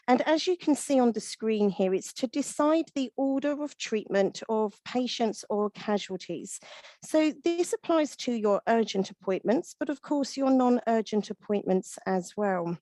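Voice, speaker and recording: Husky woman presenting a webinar. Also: fairly high pitch, dry recording. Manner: steady explaining tone, runs through lists with small fillers. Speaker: webinar presenter and veterinary nurse.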